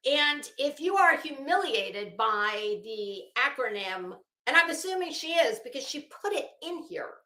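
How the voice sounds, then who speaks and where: Woman, higher pitch, very bright edge, mocking asides, dramatic sarcastic reading, live-stream commentator. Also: big pitch swings, measured pace, hardly any pauses, echoey room, poor audio. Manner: mocking, drily sardonic asides.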